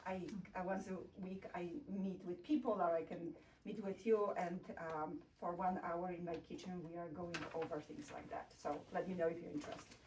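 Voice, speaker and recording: A woman's voice: on the low side, fairly even intonation, normal speed, a little mellow. Polish woman teaching a class, distant mic. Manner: encouraging, praising learners. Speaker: language and culture teacher. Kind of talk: informal classroom lesson with learners. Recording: distant mic, some background noise and clicks.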